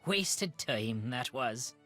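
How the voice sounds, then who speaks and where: A woman's voice: very deep voice, animated, extremely slow, fairly bright tone, faint music behind, full character voice. An actress voicing a blunt, wry Irish countrywoman who keeps sheep, with folksy, brusque phrasing in a video game. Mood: blunt, defiant. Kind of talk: video game character dialogue.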